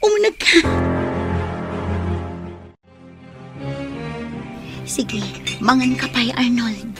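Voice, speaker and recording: An actress with an Ilocano accent playing an anxious, devoted wife in an emotional radio drama. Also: female voice, high-pitched, very animated, unhurried, over music, full character voice. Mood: startled, relieved. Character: anxious, devoted wife praying for her wayward husband.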